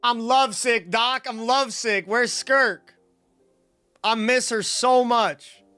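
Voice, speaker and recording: A woman's voice: on the high side, steady tone, slow pace, a bit bright, smooth. A young American woman streaming a game, chatting casually and playfully in slang as she reacts to the story and her chat. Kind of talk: casual livestream commentary. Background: game music.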